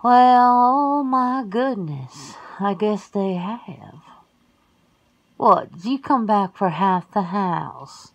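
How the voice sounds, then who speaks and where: A woman voicing a folksy, blunt, centuries-old werewolf homebody in an ASMR roleplay, suspicious and quick to exclaim. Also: mid-range voice, expressive, lo-fi sound, full character voice. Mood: indignant, sassy.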